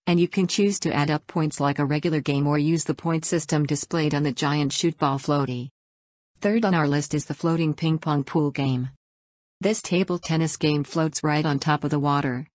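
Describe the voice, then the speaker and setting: A woman's voice: low-pitched, darkish tone, no room sound. A woman reading an upbeat, scripted promotional voice-over as a website host. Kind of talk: scripted product narration.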